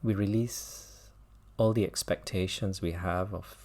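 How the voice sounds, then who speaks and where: Low-voiced man slowly guiding a meditation. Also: fairly even intonation. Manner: gentle, unhurried, with long pauses. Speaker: meditation teacher. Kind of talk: guided mindfulness meditation.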